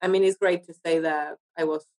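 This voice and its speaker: A woman's voice: deep voice, steady tone, fairly quick, darkish tone. Woman. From Argentina. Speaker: podcast guest, actor and writer. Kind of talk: casual interview chat.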